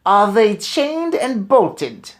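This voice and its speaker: Man putting on a shrill, commanding witch queen. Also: big pitch swings, full character voice. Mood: imperious, menacing. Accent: foreign. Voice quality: harsh, rasping, snarling, guttural. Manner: slow, barking, shouted commands. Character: tyrannical female Grand High Witch, commanding and menacing.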